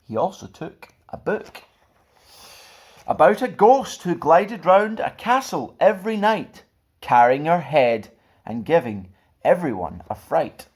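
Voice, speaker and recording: A man reading a children's picture book aloud as a storyteller, in an expressive, theatrical way. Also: a little high, takes it slowly. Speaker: storyteller and channel host.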